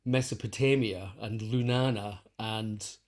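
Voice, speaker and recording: Man thinking aloud in relaxed conversation. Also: fairly low pitch, unhurried. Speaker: interview guest, composer and conductor. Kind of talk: casual conversational explaining.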